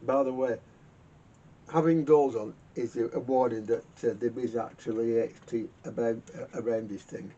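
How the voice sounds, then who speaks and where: Muffled man, halting and mellow. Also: fairly even intonation, slightly rough. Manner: halting, muttering to himself, repeats words. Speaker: vintage radio repairer hosting a workshop video. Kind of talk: casual hands-on repair commentary. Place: garage workshop. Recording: muffled lo-fi sound with rumbling noise.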